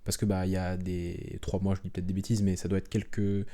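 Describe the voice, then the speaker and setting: A young French man chatting openly as an interview guest, relaxed and rambling, with frequent restarts and fillers. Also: sits low, fairly quick. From France. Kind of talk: casual conversational interview.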